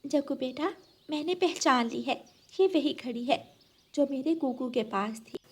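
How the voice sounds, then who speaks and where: Lady playing a cartoon bird mom, fairly high, firm, protective of her kid. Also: expressive, unhurried, a little mellow. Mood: insistent. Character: protective, earnest mother bird standing up for her son. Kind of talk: children's cartoon character voice.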